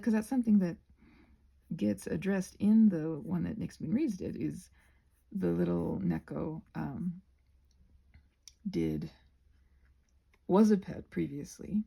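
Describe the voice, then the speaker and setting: A woman's voice: mid-range voice, gravelly, a little mellow, husky. A woman chatting casually and at length in a digressive way, often correcting herself and restarting her thoughts. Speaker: host of a rambling craft vlog. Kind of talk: casual rambling chat.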